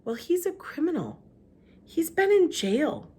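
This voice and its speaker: A woman reads as a young girl from a children's storybook, her pitch lifted and swinging freely. She is outspoken and earnest, blunt in a childlike, folksy way. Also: wildly swooping pitch, measured pace, a little mellow, heavily acted. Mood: earnest, uncertain.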